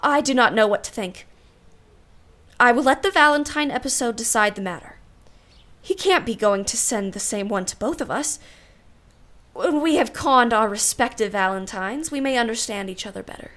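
A proud, genteel aunt from a period radio drama. Her voice sits a little high, and she speaks formally and precisely, with an arch, wry edge. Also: expressive, normal speed, a bit bright. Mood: indignant. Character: proud, wry, refined aunt, secretly jealous. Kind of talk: period radio play acting.

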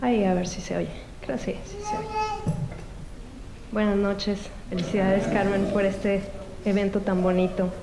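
Singer-songwriter, woman, native Spanish speaker, hesitant stage patter, medium pitch, slight room noise. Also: expressive, gravelly. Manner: hesitant, halting. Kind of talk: informal stage talk before a performance. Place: large meeting room with seated audience. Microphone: stage microphone on a stand.

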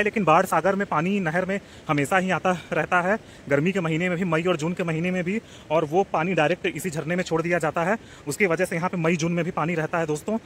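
A man with a slightly higher voice narrates a travel vlog as he walks outdoors, talking to his viewers like friends in an earnest, concerned tone. It is recorded on a handheld phone, and the roar of rushing water stays clearly audible under his voice. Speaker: travel vlogger. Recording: outdoor phone audio with loud waterfall noise.

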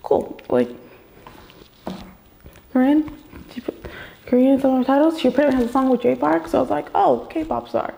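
A woman's voice: high voice, expressive, moderate pace, gravelly, long pauses, dark tone, low-quality recording. A young woman rambling casually to her camera in a story-time vlog, with many fillers and restarts, her voice a little off after a shot. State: slightly off voice after a vaccine shot. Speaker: vlogger. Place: bedroom gaming setup, indoors.

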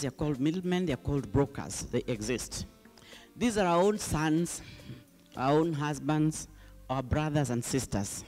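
A woman's voice: sits low, a little mellow. Middle aged lady on a conference panel, emphatic short sentences, hall reverb. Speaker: panelist, company chief executive. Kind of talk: panel discussion remarks. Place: indoor conference stage. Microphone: handheld microphone close to mouth.

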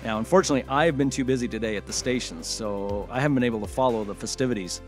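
An actor playing an upbeat, boyish radio show host who chats informally and with enthusiasm in an audio drama. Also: male voice, medium pitch, rapid-fire, faint music behind, lightly acted. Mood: excited, chatty. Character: upbeat male late-night radio host, chatty and boyish.

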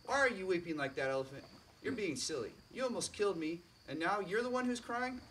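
Brief: a tiny bossy bug queen, shrill and slow, scolding , voiced by a man, distant mic with faint crickets. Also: animated, slight background noise. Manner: shrill, sharp and haughty, lecturing.

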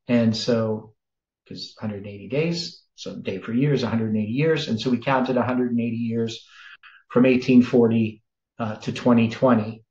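A man's voice: mid-range voice, darkish tone. Older guy in his 60s walking through a bible study, lots of uh's and second-guessing, roomy dull-sounding room. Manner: explains slowly, thinks aloud with many "uh"s and self-corrections. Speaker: preacher. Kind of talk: sermon-style Bible study over screen share.

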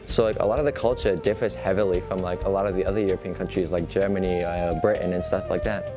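A teenage boy with a lowish voice rattles off a casual answer full of "um" and "like". Music plays clearly behind him. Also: fairly even intonation. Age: teens. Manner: rapid, loose explanation with fillers.

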